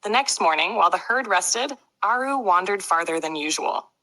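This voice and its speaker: A woman narrating a scripted children's story with clear storybook phrasing. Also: medium pitch, lively intonation, moderate pace, pushed and bright. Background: faint music.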